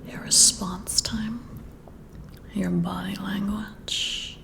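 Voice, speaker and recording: A woman playing a flirty, confident female boss in a breathy, whispered ASMR roleplay, teasing and coy with deliberate, drawn-out phrasing. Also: medium pitch.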